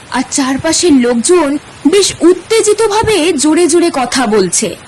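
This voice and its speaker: A woman narrates a spooky tale in a high, clear register. Her delivery is measured and steady, a practiced storyteller's pace. A faint hum of ambience sits behind her. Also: rough recording. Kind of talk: scripted horror narration.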